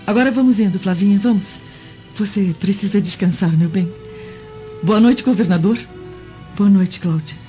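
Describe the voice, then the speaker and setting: A weary, gentle Roman mother played by a woman, low and mellow, politely subdued. The radio drama sounds dull, with music behind her. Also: moderate pace, pauses often, close to an everyday voice. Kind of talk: Brazilian radio drama acting.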